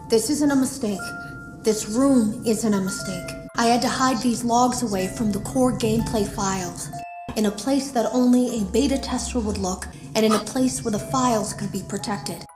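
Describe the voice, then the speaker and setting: A woman's voice: fairly high pitch, normal speed, natural voice. A woman playing an anxious game developer recording secret audio logs for a horror game, earnest, uneasy and confiding. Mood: urgent warning. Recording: roomy echo, music behind.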